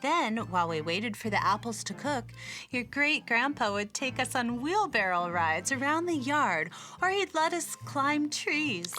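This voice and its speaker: Lady reading as a gentle, patient mom, soft and low, kinda slow. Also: sing-song, soft background music, full character voice. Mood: fond. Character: gentle mother remembering her childhood.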